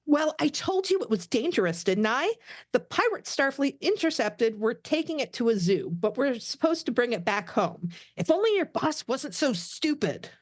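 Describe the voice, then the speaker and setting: A woman voicing an earnest, excitable young female alien lieutenant in an animated sci-fi table read, with pleading, emphatic delivery. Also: sits high, normal speed, no echo, clean studio sound, pitched above the performer's own voice. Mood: defensive.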